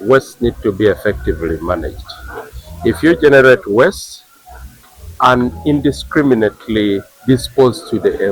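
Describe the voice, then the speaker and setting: A man with a Ugandan English accent explaining waste management in measured, short phrases. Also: on the low side, poor audio. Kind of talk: explanatory talk.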